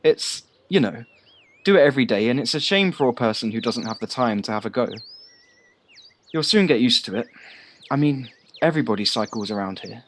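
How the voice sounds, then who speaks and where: A man's voice: medium pitch, expressive, fairly quick, lightly acted. A young man acting a simple, earnest, friendly twenty-five-year-old in an amateur audio drama. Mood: matter-of-fact. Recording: faint noise, home-recorded on personal device.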